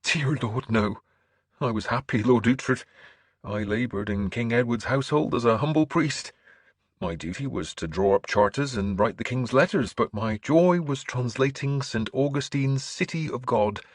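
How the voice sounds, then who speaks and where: A man voicing a gentle, earnest scholarly bishop in an audiobook, with mild manners and a preacher's fervour. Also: mid-range voice, normal speed, breathy edge, no room sound, clean studio sound, voice pushed up, natural voice. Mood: earnest, fervent. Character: gentle, earnest scholarly priest turned bishop, quietly sly.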